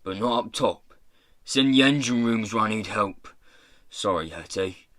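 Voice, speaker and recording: A man voicing a rough pirate mayor in a storybook, blustering and bullying, with a fawning politeness toward gentlemen. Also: fairly low pitch, moderate pace, no room sound, clean studio sound, natural voice. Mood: gruff, dismissive. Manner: working-class turns of phrase, swings from threats to humble politeness.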